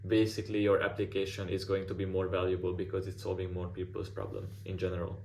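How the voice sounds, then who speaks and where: A man's voice: deep voice, completely flat delivery, fast talker, vocal fry, darkish tone, a little hiss or hum. A man who is not a native English speaker, giving casual portfolio feedback as a mentor with lots of "like" fillers and restarts.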